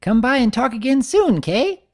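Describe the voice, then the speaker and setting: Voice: mid-range voice, big pitch swings, moderate pace, a little mellow, pitched above the performer's own voice. A narrator voicing a bubbly, hyperactive young female cartoon pony, gushing and sing-song. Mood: bubbly, rushed.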